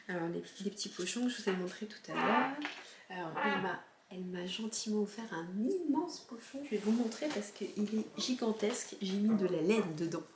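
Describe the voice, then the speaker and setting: A woman's voice: mid-range voice, background noise. Hesitant woman, echoey room. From southern France. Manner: hesitant, many fillers and restarts. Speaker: knitting podcast host. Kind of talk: casual show-and-tell chat.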